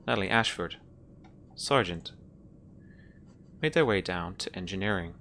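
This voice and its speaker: A man voicing an emotionless ship's computer in a tabletop roleplaying game, speaking in measured, impersonal phrases. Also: low voice, lively intonation, fairly bright tone, a little hiss or hum, exaggerated voice. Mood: flat, informative. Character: formal, emotionless ship's computer called Mother. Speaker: game master.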